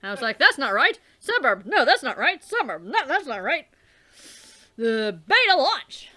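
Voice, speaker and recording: A young woman with a very high, bright voice reads a webcomic aloud in a theatrical way, her pitch jumping all over the place. She swears freely and drifts into offhand, rambling asides as she hosts a let's play. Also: sing-song, normal speed. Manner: theatrical reading, swears and trails off. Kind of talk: reading a webcomic aloud with commentary.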